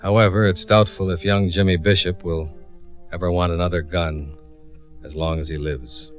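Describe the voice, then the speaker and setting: An actor playing a cool, shrewd American insurance investigator in a vintage radio drama, with dry, clipped, probing delivery. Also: male voice, sits low, steady tone, moderate pace, highs cut off, over loud music, close to an everyday voice. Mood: wry, somber. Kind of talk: 1950s radio detective acting and first-person narration.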